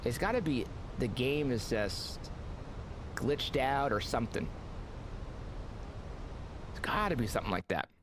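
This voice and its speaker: A man commentates his flight-sim session in loose, rambling chat full of fillers. His pitch swings up and down as he talks. A steady engine-like rumble sits under the whole recording. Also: a little slow, long pauses. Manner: rambling, filler-heavy, excitable. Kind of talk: casual gameplay commentary.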